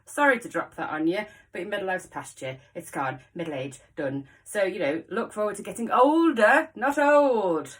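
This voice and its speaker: A woman's voice: medium pitch, expressive, normal speed, hardly any pauses, distant mic. A woman in her forties from the Wirral with a mild Scouse accent, chatting frankly to camera in a jokey way and laughing often. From the Wirral, England. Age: 40s. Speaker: local vlogger. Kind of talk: casual chatty monologue to camera.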